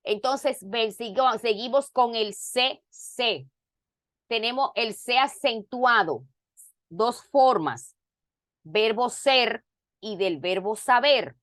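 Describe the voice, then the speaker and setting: A woman teaching an online class over a video call, explaining grammar slowly and repeating words and examples to drive them home. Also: fairly high pitch, lively intonation, a bit bright, no echo, clean studio sound.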